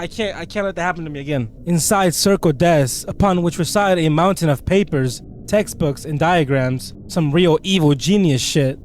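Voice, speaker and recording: A man with a slightly high voice gives let's play commentary on a story game. He reads on-screen text aloud and breaks into casual, slangy commentary. His delivery is expressive, with an incredulous edge. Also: slight background noise. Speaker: gaming video creator.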